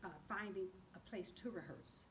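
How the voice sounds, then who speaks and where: A woman's voice: medium pitch, moderate pace, a bit bright. A woman answering interview questions as a guest in casual, conversational talk. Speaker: assistant director, interview guest. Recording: noisy room ambience.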